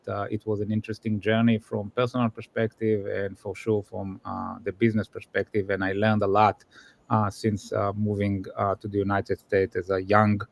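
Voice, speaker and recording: A man from Israel with an Israeli accent, a business executive answering interview questions and pausing often on "uh". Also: lowish voice. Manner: hesitant, many "uh" fillers. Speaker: guest, customer success executive.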